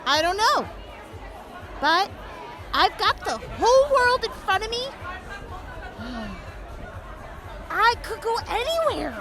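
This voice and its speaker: A woman's voice: very high voice, very animated, takes it slowly, long pauses, bright, forceful tone, some chatter behind, heavily acted. An actress playing a teasing, tipsy young woman medic in an audio drama. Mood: wistful.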